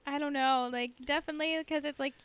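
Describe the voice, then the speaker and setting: A woman's voice: high voice, fairly even intonation, quick pace, fairly bright tone. A woman chatting casually as a podcast co-host, in an informal, hedging way peppered with "like". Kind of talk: casual podcast chat about TV shows.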